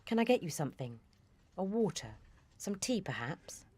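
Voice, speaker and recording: A woman's voice: on the low side, big pitch swings, normal speed, darkish tone, slightly rough. A British woman playing a composed senior intelligence officer in a radio drama, formal, firm and businesslike. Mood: politely formal.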